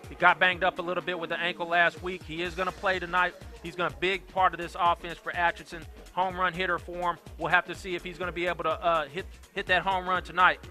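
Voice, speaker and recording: A young man calling a high school football game play by play, upbeat and quick. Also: little pitch movement, sharp tone, faint music behind. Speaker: play-by-play announcer.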